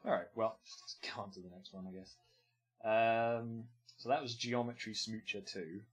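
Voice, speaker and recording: A man's voice: on the low side, steady tone, normal speed, husky, soft background music. A man streaming and commenting casually on games, with offhand, meandering remarks. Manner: offhand, meandering, muttering asides.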